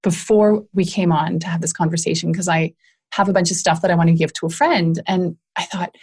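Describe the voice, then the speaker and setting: A woman's voice: fairly low pitch, steady tone, brisk, darkish tone, husky. A middle-aged woman hosting a podcast, reflecting and thinking aloud in casual conversation. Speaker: podcast host. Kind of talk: casual interview chat.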